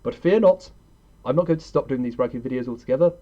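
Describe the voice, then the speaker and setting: A man's voice: mid-range voice, quick pace, mellow, dull audio. A young man narrating a scripted countdown review in an opinionated, conversational manner. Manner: opinionated, conversational, rhetorical questions. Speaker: video host and reviewer.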